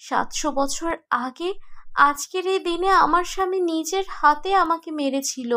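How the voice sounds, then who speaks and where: A woman playing a gentle, wistful princess ghost in a cartoon, speaking earnestly and solemnly. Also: high voice. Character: gentle ghost princess, seven hundred years dead, dutiful, wistful. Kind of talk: cartoon voice acting.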